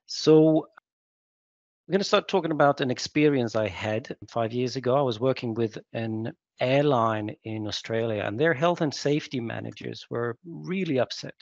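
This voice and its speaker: A man from Sweden giving a webinar talk as guest speaker on a video call, hesitant and reflective with many pauses. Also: mid-range voice, gravelly, darkish tone, no room sound. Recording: dull lo-fi call audio.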